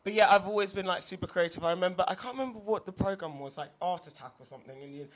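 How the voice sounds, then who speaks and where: A woman's voice: fairly low pitch, rapid-fire. A young woman from England, chatting casually as an interview guest in her studio, with lots of fillers. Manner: chatty, lots of fillers and restarts, laughs easily. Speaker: guest artist. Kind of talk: casual conversation.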